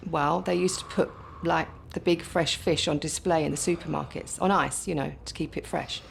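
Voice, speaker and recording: Woman acting mum, worried, slightly creaky, faint background hiss. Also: deep voice, fairly even intonation, normal speed. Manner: gentle reminiscing, later panicked. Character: worried middle-aged mother, loving but frantic. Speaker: mother of a troubled son. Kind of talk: radio drama acting.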